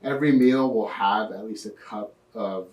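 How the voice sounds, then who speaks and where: A young man in his twenties, a podcast guest telling his story in a relaxed way with lots of fillers. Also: lowish voice, moderate pace, far from the mic, muffled recording. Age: 20s. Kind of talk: casual interview. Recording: echoey room, off-mic.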